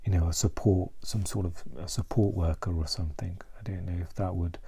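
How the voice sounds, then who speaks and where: Very deep, subdued man, hesitant. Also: steady tone, dark tone, husky. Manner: hesitant, halting, searching for words. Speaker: mental health vlogger. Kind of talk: confessional vlog monologue.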